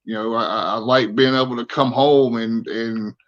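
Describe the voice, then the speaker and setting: A man in his forties talks candidly as a podcast guest, earnest and halting, often starting his sentences over. His voice sits at an ordinary pitch. The sound is a little muffled and dull. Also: fairly even intonation, a bit bright. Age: 40s. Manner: earnest, halting, many restarts. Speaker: interview guest, army veteran.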